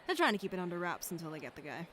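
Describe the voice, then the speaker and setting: A woman's voice: lowish voice, big pitch swings, very fast, fairly bright tone, a little hiss or hum. A woman playing a blunt, street-smart local in a visual novel, speaking in a slangy, matter-of-fact way. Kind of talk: visual novel character dialogue.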